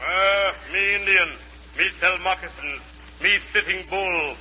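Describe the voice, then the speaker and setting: An actor playing a gruff comic Indian moccasin seller in a 1940s radio comedy, speaking deadpan in broken English. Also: male voice, high voice, a little slow, full character voice. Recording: vintage 1940s radio, hall echo, faint hum.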